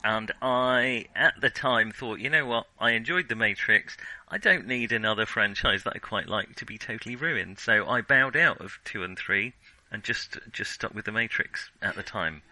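Male film podcaster, very bright crisp-edged tone, dry sound, conversational and halting, thinks aloud. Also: fairly low pitch, no room sound, rough recording. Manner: hesitant, self-correcting, searching for words. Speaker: podcast co-host. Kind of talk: casual film discussion.

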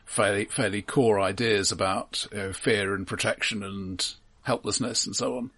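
A man co-hosting a casual film discussion, speaking in an analytical, halting way as he works out his points. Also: on the low side, fairly even intonation, fairly bright tone, slightly rough. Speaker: film podcast co-host.

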